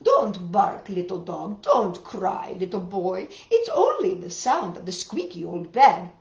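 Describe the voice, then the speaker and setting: A woman voicing a patient old grandma in a storybook, gently hushing in repetitive sing-song phrases. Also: medium pitch, sing-song, a little slow, gravelly. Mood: soothing, chiding. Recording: roomy, dull lo-fi home recording.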